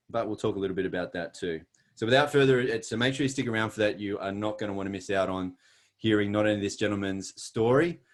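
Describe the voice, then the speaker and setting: A middle-aged Australian man giving an earnest, emphatic motivational presentation with self-deprecating humor. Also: low voice.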